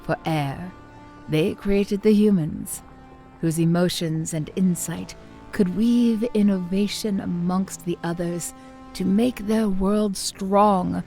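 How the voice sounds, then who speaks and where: A woman playing a measured, cryptic lore-keeper in a fantasy audio drama, speaking in deliberate, formal storytelling. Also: mid-range voice, fairly even intonation, warm tone, soft background music. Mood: solemn. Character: measured, cryptic female lore-keeper challenging her pupils' histories.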